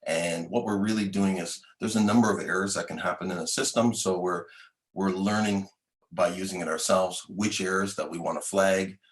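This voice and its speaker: A man with a very low voice hosts a developer livestream and runs through product updates in a relaxed, loose way. He talks in a casual tone over a video call. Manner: casual, loose with fillers and restarts. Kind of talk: product update and show hosting.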